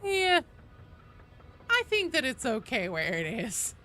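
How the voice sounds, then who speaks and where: A woman's voice: high-pitched, wildly swooping pitch, slow, bright, forceful tone, faint music behind. A woman hosting a game livestream, chatting casually and informally with viewers, swearing freely. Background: faint video game sounds.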